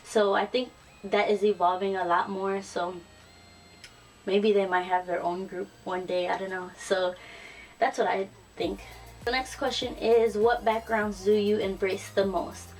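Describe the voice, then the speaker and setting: A young woman chats casually to the camera, relaxed and full of ums and self-corrections. She sits a little back from the mic, so her voice sounds somewhat distant. Faint music plays softly underneath. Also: mid-range voice, unhurried. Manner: relaxed and chatty, frequent ums and restarts. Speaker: YouTuber. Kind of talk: casual tag video.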